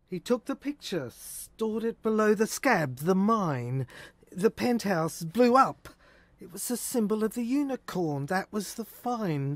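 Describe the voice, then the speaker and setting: A man playing a haunted, feverish visionary in an audio drama, delivering a poetic monologue in halting, repeated fragments. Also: high voice, no room sound. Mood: intense. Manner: halting, repeats words, fragmented incantatory phrasing. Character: haunted, feverish male visionary recalling a lost woman.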